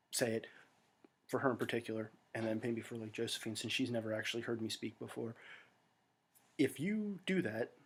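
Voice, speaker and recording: A man's voice: medium pitch, breathy edge. An American man talking to friends in a rambling, self-deprecating monologue full of fillers and restarts, recorded at home. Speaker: vlogger.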